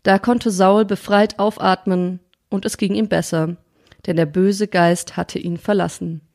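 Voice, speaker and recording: A woman hosting a podcast on sermon ideas, chatting in a friendly way and reading a scripture passage aloud in a measured voice. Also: mid-range voice, fairly even intonation, vocal fry, a little mellow, dry recording, clean studio sound. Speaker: podcast host. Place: studio or quiet room, sitting across from the guest.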